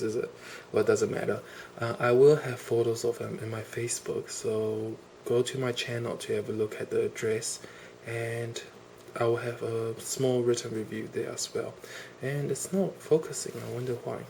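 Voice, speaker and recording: A man with a cold speaks at a middle pitch, gushing over a toy in an eager, casual way. His voice comes through a camera mic indoors, with a faint hiss underneath. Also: level tone, normal speed, gravelly, darkish tone. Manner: enthusiastic, gushing, repeats "awesome" and "really". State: has a cold. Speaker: toy reviewer. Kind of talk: casual unboxing review.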